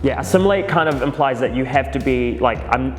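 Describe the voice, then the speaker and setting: A man talks about his work in an easy, halting way, stopping for fillers. His voice sits at a middle pitch. The sound rings around a reverberant room, and faint music plays underneath. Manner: relaxed, hesitant with fillers and laughs. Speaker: theatre director. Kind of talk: casual interview chat.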